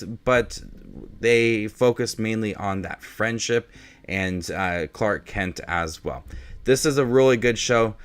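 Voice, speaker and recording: A man with a lowish voice hosts a review video. He speaks casually and directly to viewers. His manner is upbeat and approving, in an informal monologue. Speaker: YouTube movie reviewer, host.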